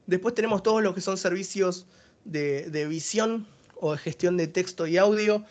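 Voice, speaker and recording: A man's voice: sits high, moderate pace, darkish tone. A man with an Argentine accent giving a technical webinar presentation, explaining each service step by step.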